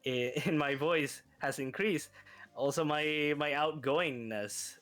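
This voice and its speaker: A man's voice: a little high, slow pace, fairly bright tone. A man whose first language is Spanish speaks with a light Spanish accent. He chats casually as a guest, hesitant and giggly, and often restarts his sentences. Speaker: guest streamer. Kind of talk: casual chat.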